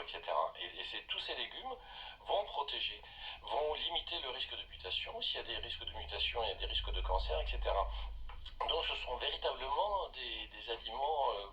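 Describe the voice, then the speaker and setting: Male doctor for radio interview: low, very animated, didactic delivery, slightly distant sound. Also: dull audio. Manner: didactic, emphatic, restates points. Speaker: doctor, studio guest. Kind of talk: radio interview, explanatory talk.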